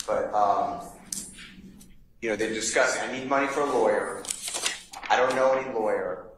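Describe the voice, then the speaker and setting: A man's voice: lowish voice, normal speed. A middle-aged man arguing as a defense attorney in a courtroom. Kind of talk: courtroom argument. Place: large wood-paneled courtroom. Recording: roomy courtroom echo, background noise.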